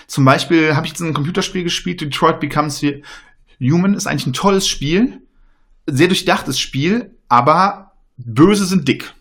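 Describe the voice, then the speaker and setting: A man's voice: on the high side, normal speed, a bit bright. A man who stutters, speaking in a casual panel discussion, with many fillers and restarts.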